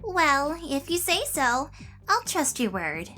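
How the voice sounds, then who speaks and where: A woman plays a girly, bossy young cartoon pony. Her voice is high and lively, with an unhurried, self-assured delivery made for a fan audio drama, over faint music. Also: exaggerated voice. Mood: bossy, girlish.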